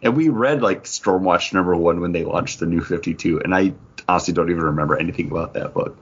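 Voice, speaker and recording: A man hosting a comics podcast in casual chat, upbeat and quick to joke. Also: deep voice, slightly rough. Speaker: podcast host. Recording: dull lo-fi audio.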